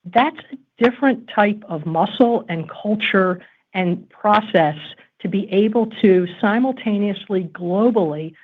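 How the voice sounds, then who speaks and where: A woman's voice: mid-range voice, a little slow. A woman senior executive speaking off the cuff on an earnings call, emphatic and assured. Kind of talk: extemporaneous corporate earnings call commentary. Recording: tinny narrow phone-line conference audio.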